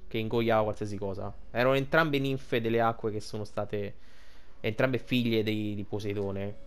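A man's voice: on the low side, clean tone. An Italian man giving casual gameplay commentary, rambling and thinking aloud as he plays. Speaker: gaming streamer.

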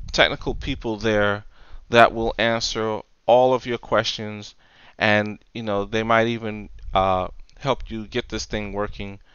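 A man giving a casual software tutorial, explaining things step by step, close on the mic in a voice-over recording. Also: lowish voice, clean tone, no room sound, highs cut off. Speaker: tutorial presenter.

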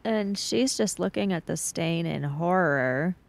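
A woman's voice: lowish voice, measured pace, vocal fry, no room sound. A woman playing a tabletop game, improvising narration of her character's actions and thinking aloud. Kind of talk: improvised third-person roleplay narration.

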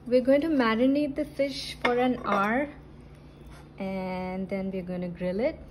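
A woman with a slightly high voice, speaking in an unhurried way. She talks through each cooking step in an upbeat, casual way, like she is chatting in a holiday rental kitchen. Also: a little hiss or hum, low-quality recording. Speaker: travel vlogger.

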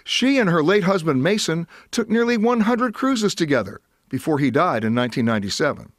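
A man's voice: fairly high pitch, expressive. A man in his sixties, a travel correspondent, narrating a news feature and chatting in the studio. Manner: brisk, conversational.

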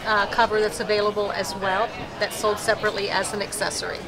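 A woman vendor at an expo confidently pitching a fridge cooler. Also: flat delivery, fast talker, a crowd in the background. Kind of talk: product explanation. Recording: crowded expo hall.

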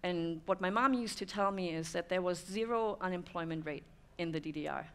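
A woman's voice: lowish voice, a little fast, fairly bright tone, clean tone. A German woman in her thirties giving a conference talk to an audience, thoughtful, with many "um" fillers. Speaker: speaker. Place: dim stone-walled room with audience.

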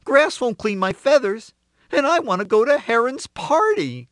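A woman's voice: fairly high pitch, big pitch swings, moderate pace, no echo, clean studio sound, voice pushed up. A woman voicing an eager, fussy male rooster in a children's storybook, pleading as she repeats a growing list of requests. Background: music.

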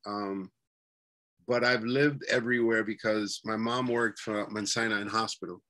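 A man in his forties with a New York accent and a fairly low voice talks over a webcam from a bedroom at home. He rambles through his memories, with plenty of fillers and restarts. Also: fairly even intonation, normal speed, bright, forceful tone. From New York City. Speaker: guest. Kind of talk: casual interview chat. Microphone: webcam on a video call.

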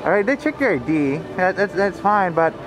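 A woman's voice: on the low side, big pitch swings, normal speed, rough recording. A woman chatting casually outdoors as the companion on a vlog. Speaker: vlog companion behind the camera. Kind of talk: casual chat. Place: outdoors at night in a theme park. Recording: music playing behind.

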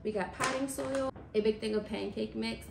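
A woman's voice: fairly high pitch, fairly even intonation, moderate pace, vocal fry, recorded from a distance, heavy background noise. A young woman casually walking through her groceries in a home kitchen, chatty and matter-of-fact, recorded by a camera a few feet away. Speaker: vlogger. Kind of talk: casual grocery haul walkthrough. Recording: roomy kitchen echo from across the counter.

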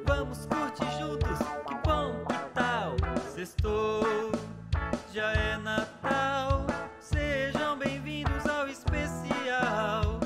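A woman's voice: sits high, extremely slow, over loud music. A woman with a Brazilian accent singing a children's Christmas song.

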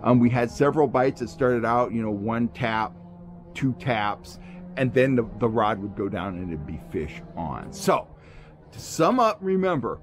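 Middle-aged American man explaining, music behind. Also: mid-range voice. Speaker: fishing show host and angler. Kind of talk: instructional vlog talk to camera. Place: outdoors among trees.

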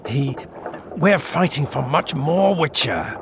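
An actor playing a proud, wounded elf rebel in a fantasy video game, bitter, gravelly and weak with pain. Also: male voice, fairly high pitch, lively intonation, slow pace, heavily acted. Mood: defiant, weary. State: weak, in pain, breathy gasps. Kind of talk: dramatic video game acting. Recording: loud ambient noise behind voice.

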